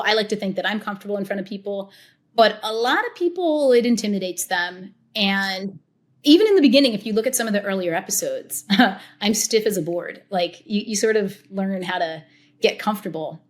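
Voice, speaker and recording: Woman, podcast guest, chatty, animated, casual storytelling. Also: mid-range voice, brisk. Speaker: podcast guest, tequila educator. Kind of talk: casual interview talk.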